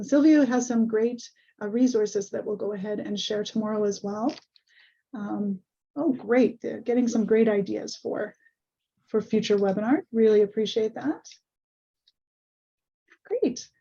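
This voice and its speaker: A woman hosting an online webinar over a video call, a little hoarse, friendly and full of ums. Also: on the high side, warm tone. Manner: friendly, many ums and uhs. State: slightly hoarse. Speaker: webinar host. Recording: muffled video call audio.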